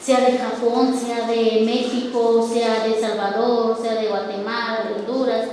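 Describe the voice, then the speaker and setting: A woman's voice: on the high side, flat delivery, a little slow, darkish tone, slight background noise. A woman speaking with passion in a lilting, almost sung delivery. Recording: distant in echoey hall with music.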